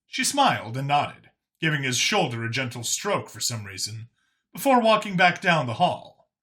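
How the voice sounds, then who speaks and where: A man's voice: medium pitch, big pitch swings, normal speed, bright, forceful tone. A man reading a science fiction story as a scripted audiobook narrator.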